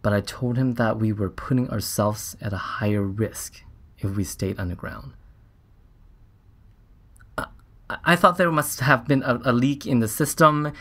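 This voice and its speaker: An actor playing an earnest, shaken scientist on a Mars mission, recounting events in a halting, reflective way as in a documentary interview. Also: male voice, mid-range voice, a bit bright, natural voice. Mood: earnest, urgent.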